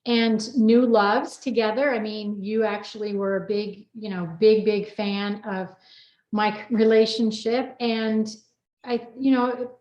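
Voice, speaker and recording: A woman's voice: medium pitch, level tone, slow, darkish tone. Middle aged lady hosting a loose chatty talk, kinda rambly, roomy sound, bit dull and lo-fi. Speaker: co-author hosting a personal chat. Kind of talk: unrehearsed casual chat.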